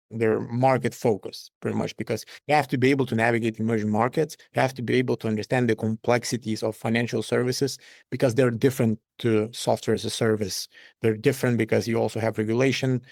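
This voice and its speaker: A man, a company founder giving measured, matter-of-fact answers as an interview guest. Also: mid-range voice, vocal fry, a little mellow, no room sound, clean studio sound. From Lithuania.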